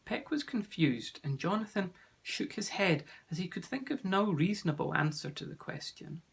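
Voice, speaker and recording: A man's voice: on the high side, normal speed, creaky, slightly rough. A man narrating a children's story from a script as an audiobook reader.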